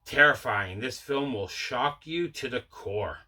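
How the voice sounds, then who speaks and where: Male vlog host, mid-pitched with a bright, forward voice; casual, enthusiastic collector chat that circles back on itself. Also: animated, measured pace. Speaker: video host showing a movie collection. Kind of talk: casual mail-day haul chat.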